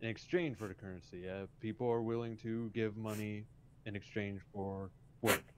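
A man playing a polite, hesitant police officer in improvised game roleplay, speaking in a halting, matter-of-fact way. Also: fairly even intonation, lightly acted. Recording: dull lo-fi mic with faint rumble.

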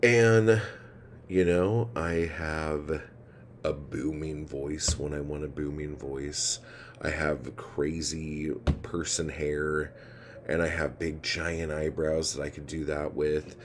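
A man in his forties vlogging at home, rambling and swearing as he vents his frustrations and low mood. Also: steady tone, slow. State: feeling low and tired. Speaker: poet vlogger. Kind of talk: casual confessional vlog. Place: indoor room with ceiling fan. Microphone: phone camera held low, close. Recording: noisy phone recording.

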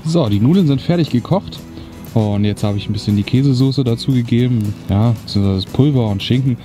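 A man's voice: medium pitch, a little fast, music in the background. A young man chatting casually and colloquially to camera in a laid-back way, outdoors in the woods. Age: young adult. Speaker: outdoor vlogger.

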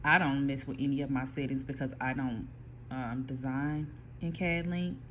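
A person casually explaining printer software step by step, with frequent pauses and restarts, recorded on a phone aimed at a computer screen. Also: medium pitch, steady tone, a little hiss or hum. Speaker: small print shop owner giving a software tutorial. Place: indoor workspace at a computer. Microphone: phone filming a computer screen.

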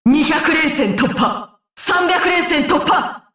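Fierce high-pitched imperious ninja warrior. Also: female voice, expressive, slow pace, bright, forceful tone, no room sound, clean studio sound, exaggerated voice. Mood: fierce battle cries. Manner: archaic, haughty, commanding Japanese diction. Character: proud battle-hungry female ninja warrior, imperious and fierce. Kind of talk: anime video game battle lines.

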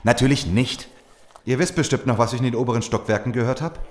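A man's voice: medium pitch, quick pace, close to an everyday voice. An actor playing a determined, distrustful survivor who plans for his group in an audio drama. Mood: urgent, planning. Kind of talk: German audio drama acting.

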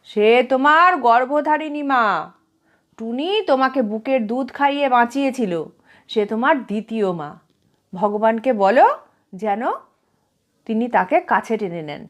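A woman's voice: a little high, expressive, unhurried, pauses often. A woman voicing a stern, fearless but kindly widowed elder aunt with a scolding, commanding manner in a story reading. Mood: solemn. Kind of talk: storybook character voice.

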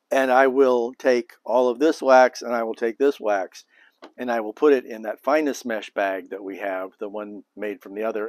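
An older man hosting a beekeeping demonstration, talking casually and thinking aloud as he works on an outdoor patio. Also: no room sound. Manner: relaxed, thinking aloud, low-key. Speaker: beekeeping video host.

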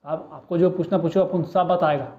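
A man's voice: fairly high pitch, steady tone, fairly quick, lightly acted. A man acting a cheeky small-time crook with a Mumbai street accent, bargaining and pleading in a police interrogation monologue. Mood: admiring, insistent. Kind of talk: audition monologue, film-style street-slang acting. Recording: muffled, narrow phone-like sound.

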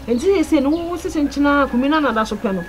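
An actress plays an insistent Ghanaian woman in a screen drama. She talks very fast in a slightly high voice, over clearly noisy location sound. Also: animated.

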